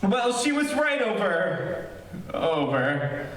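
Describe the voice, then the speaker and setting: A high-pitched, excitable husband played by a man stammers and protests in 1940s radio comedy style. The recording is very echoey and sounds far from the mic, with faint noise. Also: slow, full character voice. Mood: flustered, protesting. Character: flustered, excitable husband, bumbling and forever in trouble.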